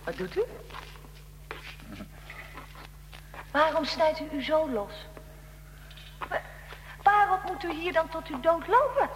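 A woman's voice: very high-pitched, moderate pace. A woman acting in a radio drama as a curious, easily startled young interviewer who questions a figure from history. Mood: frightened. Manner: inquisitive, expressive, reacts with alarm and wonder. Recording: long echoing reverb, faint background noise.

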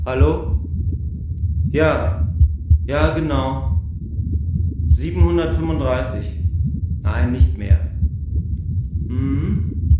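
An actor playing a hotel guest who gives clipped, curt answers on the phone. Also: male voice, medium pitch, drawn-out words, long pauses. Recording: distant, dull, very echoey, noisy.

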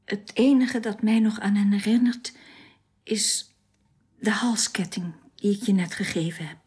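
An actress playing a tender, wistful woman in love in a radio drama, speaking softly and intimately. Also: mid-range voice, unhurried. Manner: soft, breathy, intimate. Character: tender, wistful woman confiding in her lover.

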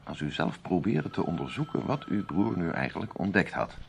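A grave, authoritative major, with a low voice that swings expressively. He is measured and emphatic, with a husky edge, as in a radio thriller. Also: animated, a little hiss or hum. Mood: grave, persuasive. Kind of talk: Dutch radio play acting.

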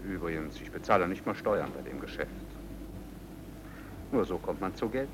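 Deep-voiced, cynical charming racketeer. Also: male voice, expressive, normal speed. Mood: cynical, menacing. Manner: theatrical, nonchalant, swinging from muttering to declaiming. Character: suave, cynical male racketeer, smugly amoral and jovial. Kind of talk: vintage German radio play acting. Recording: noisy vintage radio play recording.

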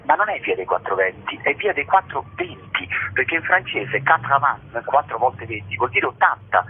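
Male actor guest, husky, phone-quality audio, lively storyteller. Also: mid-range voice. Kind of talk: storytelling interview on a phone line. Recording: tinny phone line.

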